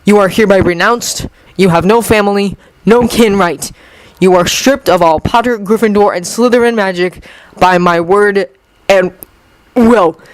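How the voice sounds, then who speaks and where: A narrator voicing a brave teenage boy wizard, declaiming slowly and formally in an audiobook. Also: mid-range voice, no room sound, lightly acted, rough recording. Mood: strained, resolute.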